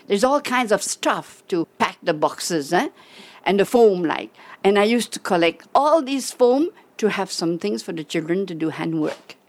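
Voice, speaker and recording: A woman's voice: mid-range voice, animated, unhurried. A woman talking casually in an interview.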